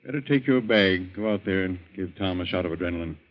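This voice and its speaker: A deep-voiced, stern older American doctor, acted by a man in an old radio play. He speaks curtly and with authority. The recording is muffled with age. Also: fast, natural voice. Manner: curt, authoritative. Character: stern, brusque older male doctor, an uncle. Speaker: radio drama actor. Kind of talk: old-time American radio drama acting.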